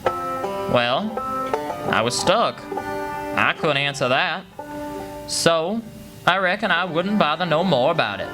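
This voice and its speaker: An actor playing a plain-spoken country lad narrating his own troubled story, folksy and halting. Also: fairly high pitch, lively intonation, rough recording. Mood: troubled, reflective. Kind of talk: first-person radio play narration. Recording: live stage, guitar music behind.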